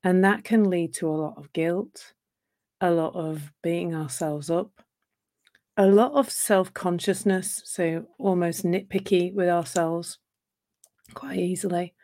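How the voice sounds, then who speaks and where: A woman talks gently and thoughtfully in a live session, her low-pitched voice slightly croaky from a sore throat. She often restarts her phrases as she reflects out loud. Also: a little slow. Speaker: coach. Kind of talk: live talk on relationships.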